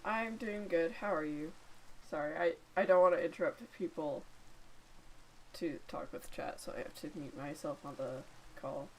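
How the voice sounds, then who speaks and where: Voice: medium pitch, slight background noise, poor audio. A gamer chatting casually and rambling while playing, talking to themselves and to others on a call. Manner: rambling, chatty self-talk with quick asides to a call. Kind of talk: casual gameplay chat.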